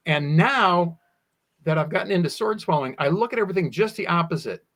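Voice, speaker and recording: Higher-voiced man telling stories. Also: expressive, brisk, fairly bright tone. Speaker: guest, sword swallower. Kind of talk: animated anecdotal interview storytelling.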